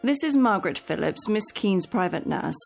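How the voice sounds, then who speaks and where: Lively, protective private nurse. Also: female voice, mid-range voice, normal speed, gravelly, faint music behind. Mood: firm, indignant. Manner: firm, solicitous. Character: brisk, protective private nurse, soothing but firm. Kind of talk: radio drama acting.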